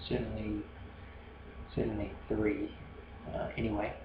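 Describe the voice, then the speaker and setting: Casting: New Zealand male podcast host, low monotone, hesitant rambler, muffled roomy recording with steady noise. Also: unhurried, creaky. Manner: hesitant, many fillers and restarts. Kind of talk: rambling solo podcast monologue.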